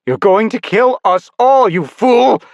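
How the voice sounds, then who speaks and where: A man's voice: on the low side, takes it slowly, no echo, highs cut off. A man reading an audiobook voices a cruel, mocking woman villain with a taunting, contemptuous manner. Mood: enraged.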